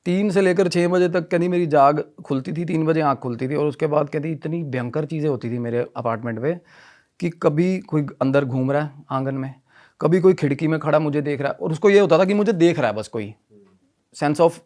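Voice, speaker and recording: A man telling a story in animated conversational style, often repeating himself and mixing in English phrases. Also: on the high side, normal speed. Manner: repetitive, mixes Hindi with English phrases. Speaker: podcast guest telling a ghost story.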